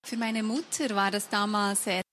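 A deaf woman from Switzerland with a Swiss German accent, whose first language is sign language. She tells her own story to an audience in measured, deliberate speech with frequent hesitations. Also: mid-range voice, fairly even intonation, fairly bright tone, faint music behind. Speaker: guest speaker at a book fair event.

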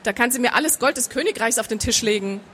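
A woman's voice: on the high side, fast talker, bright, forceful tone, slight background noise. A woman voicing a stubborn, sarcastic, hard-drinking woman of action in a storybook, blunt and defiant.

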